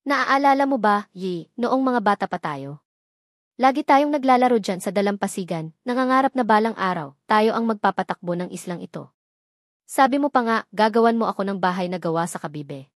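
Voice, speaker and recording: A woman reading the part of a young woman rival in a storybook, sly and sweet-tongued, drawing out each word with a soft but sharp edge. The voice sits at a middling pitch and sounds dry and close, with no room around it. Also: expressive, dry recording, lightly acted. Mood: sweetly taunting. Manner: slow, honeyed speech with an edge.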